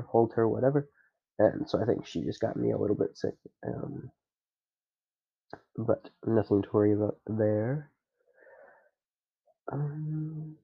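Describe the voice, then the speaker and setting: A man's voice: lowish voice, gravelly, dull audio. A young man with a stuffy nose, chatting casually and rambling with lots of fillers as a podcast host. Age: young adult. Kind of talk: casual solo chat.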